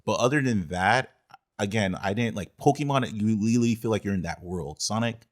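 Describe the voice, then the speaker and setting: A man co-hosting a podcast, casually giving film opinions in a halting way, rephrasing as he goes. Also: on the low side. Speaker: podcast co-host. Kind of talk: casual film discussion chat.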